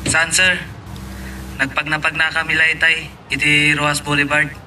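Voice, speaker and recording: Sharp bright Filipino driver. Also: male voice, on the high side, monotone, normal speed, distant mic, low-quality recording. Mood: deferential, wary. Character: deferential driver reporting to his boss. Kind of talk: Filipino radio drama acting. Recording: off-mic, faint car noise.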